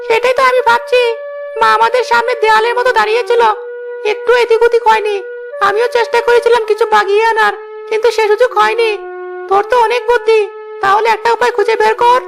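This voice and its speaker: A boyish, scheming village lad from a cartoon fairy tale. The voice is extremely high and creaky and rattles along quickly. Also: female voice, level tone, background noise, heavily acted. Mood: worried. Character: lazy, scheming young village brothers, boyish and defiant.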